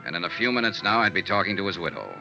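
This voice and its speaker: A man's voice: low voice, lively intonation, racing, creaky, over music. An actor playing a confident, wry American insurance investigator who narrates his case in clipped, hard-boiled 1950s radio drama style. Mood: wry narration. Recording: dull vintage radio recording with hiss.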